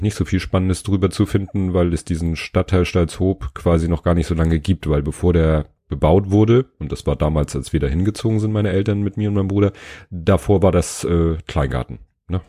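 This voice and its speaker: A man in his thirties with a cold hosts a solo book podcast, his voice hoarse and very low, with a mellow, dark tone. He rambles casually, stopping often for fillers and restarting his sentences. The sound is close and dry. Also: brisk, no room sound. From Hamburg, Germany. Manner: rambling, many fillers and self-corrections. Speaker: podcast host.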